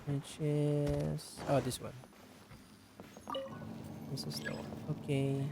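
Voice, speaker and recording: A man's voice: fairly high pitch, completely flat delivery, slow. A man giving casual gameplay commentary, muttering to himself as he plays, with game sound behind him. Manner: muttering to himself while playing. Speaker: gameplay streamer. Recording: clear background noise.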